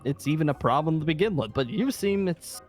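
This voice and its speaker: A man's voice: fairly high pitch, expressive, fairly quick, soft background music, natural voice. A man playing a weary, cautious leader of a zombie-apocalypse safe haven in an improvised game roleplay, talking hesitantly and trailing off. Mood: reluctant, instructive.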